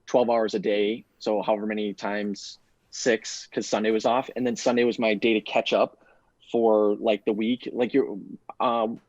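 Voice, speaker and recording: A man's voice: on the low side, little pitch movement, moderate pace, darkish tone, no room sound, dull audio. A man answering interview questions in a rambling way, filling his sentences with "like". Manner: rambling, heavy use of "like". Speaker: guest, bootcamp graduate.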